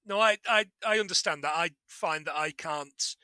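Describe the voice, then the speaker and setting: A man's voice: fairly high pitch, moderate pace, pushed and bright, no room sound, studio quality. A man guest-hosting a podcast, chatting casually and self-deprecatingly, often restarting his sentences. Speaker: guest host.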